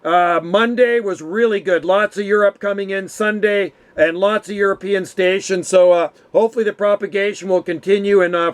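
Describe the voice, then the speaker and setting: A man's voice: sits high, clear, resonant tone. A middle-aged man chatting casually as an amateur radio operator, using radio jargon and friendly forms of address. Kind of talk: casual radio contact chat. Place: home radio room.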